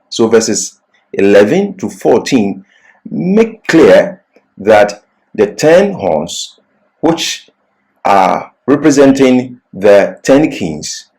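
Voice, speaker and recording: A man giving a religious seminar lecture as a Bible class teacher, with deliberate, emphatic phrasing broken by pauses mid-sentence. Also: mid-range voice, darkish tone, low-quality recording.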